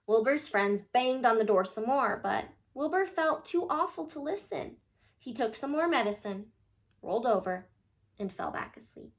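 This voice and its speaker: A woman reading a children's picture book aloud in an animated, expressive way, addressing young listeners. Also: a little high.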